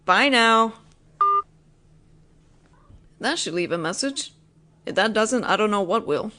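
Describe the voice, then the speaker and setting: Slow, soft-spoken young woman. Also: lively intonation, a little hiss or hum. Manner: soft, low and lingering, slipping into whispers. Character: possessive, teasing young woman roommate. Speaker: voice actor in an audio roleplay. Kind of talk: intimate audio roleplay, speaking to a listener.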